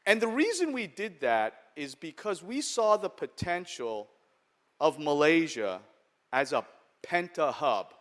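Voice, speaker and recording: Keynote speaker: middle-aged American man, New York accent, slightly high, chatty and joking. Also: very animated, unhurried, a bit bright. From United States. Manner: conversational, humorous, checks in with "okay?". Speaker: venture capital investor and keynote speaker. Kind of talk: conference keynote speech. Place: large conference hall.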